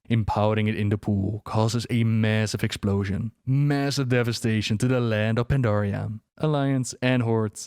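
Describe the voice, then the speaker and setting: A man's voice: fairly low pitch, darkish tone, no echo. A man narrating scripted lore as a dramatic storyteller, close to the mic. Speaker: video narrator.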